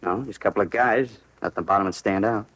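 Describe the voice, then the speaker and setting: An American actor playing a talkative, eager bar owner full of street slang in a 1950s radio crime drama. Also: male voice, on the low side, quick pace, a bit bright, husky. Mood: matter-of-fact.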